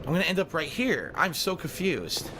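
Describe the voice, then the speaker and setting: Thirties man streaming a game, unsure and rambling, high lively voice, hiss behind him. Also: normal speed. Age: 30s. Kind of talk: live gameplay commentary.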